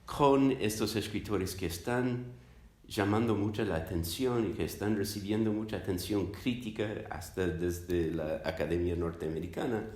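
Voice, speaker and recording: A man from the United States whose native language is English gives considered interview answers. His voice sits very low. He searches for words as he explains, and the room adds an audible echo. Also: moderate pace, darkish tone. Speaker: interviewed academic, literature scholar. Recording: roomy, echoing sound.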